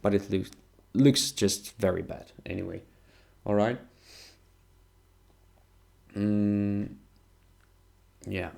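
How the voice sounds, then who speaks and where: Man, Ukrainian accent, lowish pitch, very creaky voice, live game commentary, halting think-aloud phrasing. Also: fairly even intonation, mellow. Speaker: chess streamer and international master.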